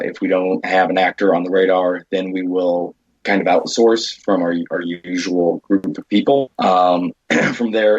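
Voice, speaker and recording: A man chatting casually as an interview guest about his work as an independent filmmaker, halting and often interrupting himself. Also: sits low, husky, dry recording. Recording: dull lo-fi audio.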